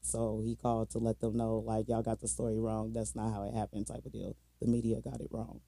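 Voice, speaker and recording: A man's voice: lowish voice, completely flat delivery, brisk, vocal fry, mellow, no room sound. An American man co-hosting a true crime podcast, telling the case casually in slangy, colloquial language. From Minnesota, United States.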